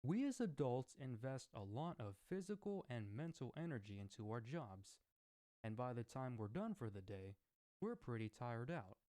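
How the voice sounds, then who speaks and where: A man's voice: mid-range voice, sing-song, normal speed, mellow. A man hosting his own scripted discussion segment as a roleplay creator, speaking earnestly in formal wording.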